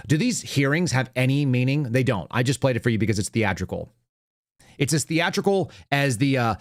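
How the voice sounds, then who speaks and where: A man's voice: mid-range voice, dry recording, clean studio sound. An American man giving opinionated, unscripted political commentary as a talk show host, blunt, conversational and emphatic.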